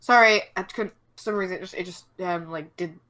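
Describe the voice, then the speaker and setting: Bright-voiced woman, blunt and chatty. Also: lowish voice, brisk. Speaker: podcast co-host. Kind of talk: casual group chat. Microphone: online voice call.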